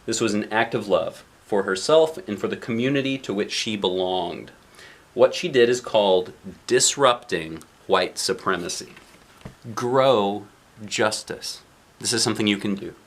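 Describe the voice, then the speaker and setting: A man's voice: lowish voice, a little slow. A man reading a picture book aloud as a dad would, expressively, with casual asides to children and weighty emphasis on key lines.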